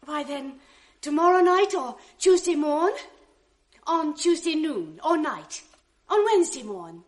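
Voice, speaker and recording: An actress playing a loving young noble wife in a 1980s radio drama, gentle and earnest, coaxing insistently. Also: female voice, high voice, expressive, a little slow.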